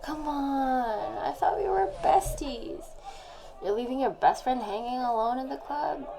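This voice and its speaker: Woman acting a slow-talking tipsy young lovesick friend. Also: high-pitched, animated, vocal fry, heavily acted. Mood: pleading, whiny. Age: young adult. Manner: drawn-out, rambling, sighing, halting. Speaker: voice actor in audio roleplay. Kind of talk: intimate audio roleplay, drunk voicemail monologue to listener. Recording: faint background noise.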